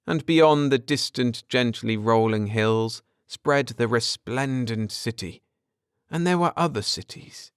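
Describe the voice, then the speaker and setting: A man narrating a science fiction story as an audiobook, with theatrical, deliberate phrasing and dramatic emphasis. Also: medium pitch, clear, resonant tone, no echo, studio quality.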